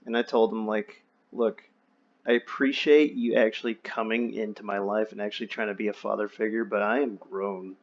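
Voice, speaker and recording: A man's voice: lowish voice, fairly even intonation, moderate pace. A young man casually telling personal stories in a candid, wry, slangy and profane way over an online voice chat. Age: young adult. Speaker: friend telling his life story. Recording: dull lo-fi voice chat.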